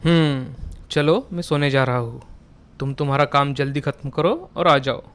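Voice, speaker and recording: A man playing a stern but reasonable young husband and son in an animated family story, plain and admonishing. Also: mid-range voice, normal speed, clean tone, natural voice. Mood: matter-of-fact. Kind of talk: animated Hindi moral story dialogue.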